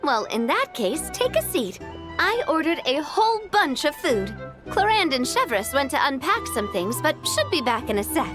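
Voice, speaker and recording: A woman's voice: high-pitched, big pitch swings, normal speed. A woman voicing a confident, friendly young gang boss in a video game, playful and nonchalant. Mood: warm, playful. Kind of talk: video game character acting. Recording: game music behind.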